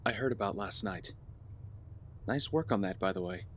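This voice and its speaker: A man's voice: fairly low pitch, animated, normal speed. Man as a brooding vigilante, noisy background. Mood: weary doubt. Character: brooding, disillusioned young male vigilante, weary and earnest. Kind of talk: scripted audio drama acting. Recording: clear background noise with ambience.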